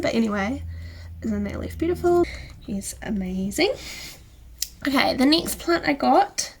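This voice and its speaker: A young woman with a New Zealand accent chatting to camera, a little croaky from a cold, enthusiastic and dipping into soft near-whispers. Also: a little high. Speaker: plant vlogger. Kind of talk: casual chat to camera. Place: indoor room with plant shelves. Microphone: camera close in front.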